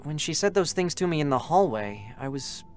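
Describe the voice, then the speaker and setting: A man's voice: fairly high pitch, sing-song, normal speed, faint music behind. A man voicing a boyish, chatty young male android scout in a dramatic reading, eager, hesitant and openly emotional. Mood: subdued, reflective.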